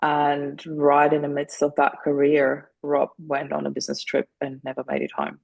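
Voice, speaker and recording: Gravelly-voiced woman. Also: sits low, moderate pace, a little mellow, no echo, lo-fi sound. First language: German. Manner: earnest and open, often restarts her sentences. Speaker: guest. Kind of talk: candid interview conversation.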